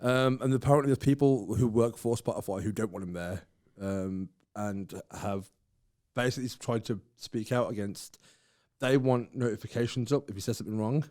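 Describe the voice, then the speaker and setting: A man co-hosting a casual podcast chat, his voice dark and mellow. He rambles through long asides and laughs a lot. The sound is close and dry, with no room around it. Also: lowish voice, normal speed, dry recording. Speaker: podcast co-host.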